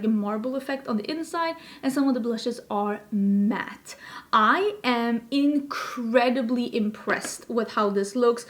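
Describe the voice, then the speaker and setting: Swedish lady in her 30s, bit high voice, excited rambly makeup chat at home. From Sweden. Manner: chatty, enthusiastic, rambling asides. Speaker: beauty youtuber. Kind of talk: makeup review talking to camera. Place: home filming room with plants, indoors.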